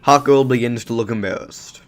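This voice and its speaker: A man's voice: mid-range voice, moderate pace, soft background music. Man narrating an amateur audio drama.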